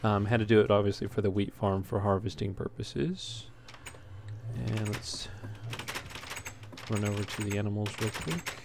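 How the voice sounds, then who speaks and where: A man's voice: on the low side, normal speed. A man giving casual, unscripted gameplay commentary as a let's play host, talking in an easygoing, hesitant ramble. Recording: clearly noisy home recording.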